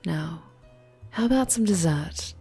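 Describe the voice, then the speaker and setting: An actress as a poised vampire woman, flirty and teasing. Her voice sits fairly low and moves very slowly, in an ASMR roleplay. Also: lively intonation, dark tone, breathy edge, no room sound, faint music behind, heavily acted. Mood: sly, teasing. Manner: teasing, reassuring, unhurried. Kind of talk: ASMR audio roleplay acting.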